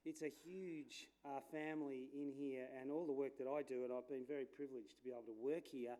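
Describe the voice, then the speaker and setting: A man, a landscape architect giving a loud, chatty conference talk with many fillers. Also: medium pitch. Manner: chatty, self-deprecating, with fillers; says his voice is loud. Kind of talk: informal conference presentation. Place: lecture room at a conference.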